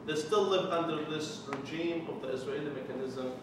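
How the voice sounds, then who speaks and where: Palestinian man, 40s, earnest testimony with dry humor, higher voice, picked up far off in an echoey, noisy hall. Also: normal speed. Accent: Palestinian Arabic. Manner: earnest, with wry jokes. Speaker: Lutheran mission developer. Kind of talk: personal testimony talk to a committee. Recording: echoey room from far off, with noise.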